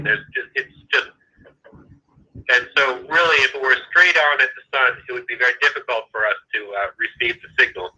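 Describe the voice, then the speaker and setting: Man, satellite staffer on a call, halting explainer, very bright tone, tinny phone line. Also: mid-range voice. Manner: halting, many restarts, explanatory. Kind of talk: phone conversation.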